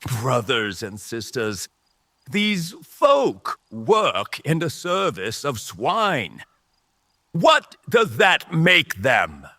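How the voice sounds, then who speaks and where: A man voicing a menacing, mocking rebel mage leader in an audio drama, with an oratorical, drawn-out, taunting delivery. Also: medium pitch, wildly swooping pitch, pushed and bright, no echo, studio quality, voice pushed up, exaggerated voice. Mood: rousing, scornful.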